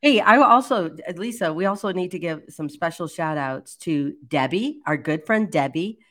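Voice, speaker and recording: An older woman with a low voice, casually co-hosting a live chat show, chatty and laughing easily. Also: animated, moderate pace, a bit bright. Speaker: livestream co-host.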